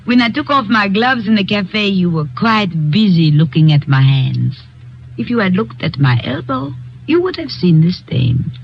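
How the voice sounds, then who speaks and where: A woman's voice: mid-range voice, sing-song, normal speed. An actress playing a cold, scheming female killer in a 1950s radio drama, silky and mocking. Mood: smug. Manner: silky, mocking, then shrieking in panic. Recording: dull old radio broadcast.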